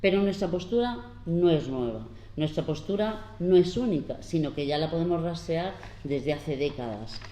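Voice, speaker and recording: A woman in her fifties from La Mancha gives an earnest, unhurried public talk as a panel speaker, pausing often as she explains. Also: sits low, expressive, warm tone. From La Mancha, Spain. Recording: roomy hall with faint background noise.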